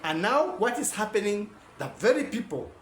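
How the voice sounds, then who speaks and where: Guy rambling thru a talk, high lively voice, faint hiss in the room. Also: normal speed, reverberant. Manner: rambling, animated, self-correcting. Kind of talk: informal lecture.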